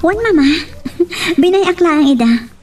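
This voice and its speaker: A woman's voice: very high-pitched, animated, slow, darkish tone, recorded from a distance, soft background music, full character voice. A woman with an Ilocano accent playing an affectionate young sweetheart and daughter in a romance radio drama. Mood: reassuring.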